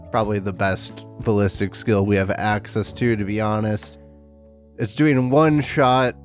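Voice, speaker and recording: A man hosting a tabletop gaming video, his voice a little rough from recent illness, with sarcastic, exasperated commentary and dry jokes. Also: medium pitch, a little mellow. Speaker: tabletop wargaming YouTuber. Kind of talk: casual commentary to camera.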